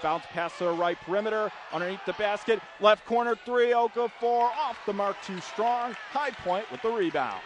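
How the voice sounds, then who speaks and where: A man's voice: high-pitched, normal speed, few pauses, a faint crowd. A man calling live radio basketball play-by-play in clipped running phrases, punching up the big plays. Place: indoor college basketball arena. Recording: noisy courtside gym broadcast. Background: gym noise, bouncing basketball.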